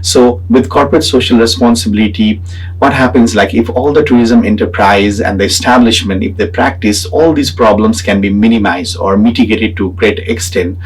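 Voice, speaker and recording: A man with a Nepali accent explaining his research as a graduate student in a halting way, pausing often as he talks. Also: fairly low pitch, slightly rough, poor audio. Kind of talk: semi-prepared explanatory talk about own research.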